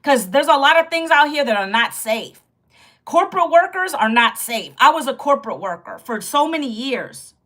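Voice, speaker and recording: A woman's voice: high-pitched, animated, moderate pace, bright, forceful tone. A woman in her fifties from Virginia, hosting a casual livestream and chatting directly with her viewers about losing her job. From Virginia, United States. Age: 50s. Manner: chatty and direct, greets and addresses viewers often. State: sore back, fearing loss of voice. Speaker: livestream host.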